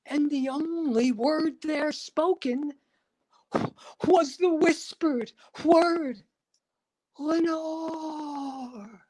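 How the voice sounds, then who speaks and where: A woman giving a theatrical dramatic recitation of poetry, with halting, drawn-out phrasing and heavy pauses. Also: high-pitched, a little mellow, rough recording. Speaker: performer at a live dramatic reading.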